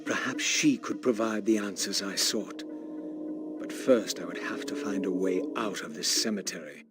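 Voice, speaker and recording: An actor playing a bitter, brooding undead wraith, narrating a video game in a grave, formal, theatrical manner. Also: male voice, fairly low pitch, normal speed, loud music behind the voice, close to an everyday voice. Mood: grave, reflective. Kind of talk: scripted dramatic game narration.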